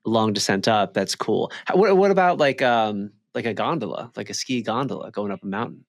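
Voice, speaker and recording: A man's voice: on the low side, expressive, a little fast, no room sound, clean studio sound. An American man co-hosting a podcast in casual, conversational banter. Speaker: podcast co-host.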